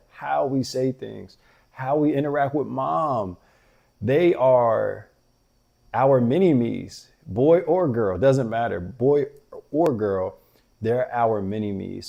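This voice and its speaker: Male host, deep mellow voice, earnest heart-to-heart delivery aimed at fathers. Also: gravelly. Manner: earnest, reflective, talks directly to fathers. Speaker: podcast host. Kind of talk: casual heartfelt monologue.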